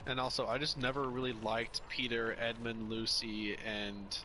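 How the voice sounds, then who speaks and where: A man's voice: medium pitch, flat delivery, moderate pace. Young man, casual chat, rambling and opinionated, faint street noise behind. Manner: rambling, opinionated, restarts often. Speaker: podcast co-host.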